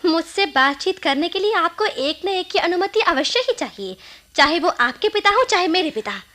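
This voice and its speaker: Young village wife in a radio play, high swoopy voice, wounded n defiant, emotional. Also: female voice, lively intonation, moderate pace, bright, forceful tone, exaggerated voice. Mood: bitter, defiant. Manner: dramatic, pointed, emotionally charged. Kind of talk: Hindi radio play acting.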